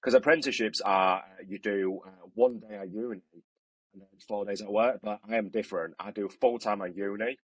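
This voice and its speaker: Deep husky young man from China. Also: steady tone, brisk. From northeast China. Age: 20s. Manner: earnest, hesitant, many restarts. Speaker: construction student guest. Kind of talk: podcast interview.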